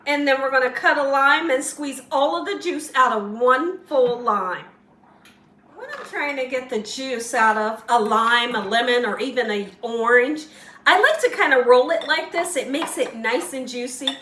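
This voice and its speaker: Bright-voiced, slightly hoarse woman cooking host. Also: sits high, normal speed, roomy sound, recorded from a distance, slight background noise. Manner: chatty, encouraging, talks straight to viewers. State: slightly hoarse, losing her voice. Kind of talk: casual home cooking tutorial. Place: home kitchen.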